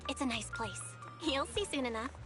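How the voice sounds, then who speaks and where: A woman's voice: high voice, animated, moderate pace, poor audio. An actress playing a friendly, encouraging young woman in a video game, easygoing and appreciative. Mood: playful, inviting. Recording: music playing clearly behind the voice.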